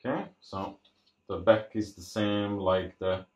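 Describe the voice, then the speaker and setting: A man giving casual, unscripted unboxing commentary, thinking aloud in a halting way as he presents. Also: on the low side, a little mellow. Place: indoors at a tabletop. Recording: roomy, echoey sound.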